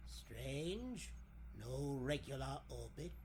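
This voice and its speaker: A man voicing an elderly, scholarly astronomy professor in a 1940s radio drama, deliberate and secretive, speaking in halting, pondering phrases. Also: mid-range voice, big pitch swings, a little mellow, slight background noise, voice pushed down. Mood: musing.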